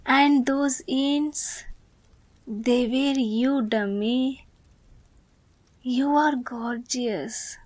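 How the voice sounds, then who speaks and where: A woman playing a teasing, affectionate young woman in love in an ASMR romance roleplay, speaking softly and playfully, at times breathily. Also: fairly high pitch, no echo. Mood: tender, shy, then tender, earnest.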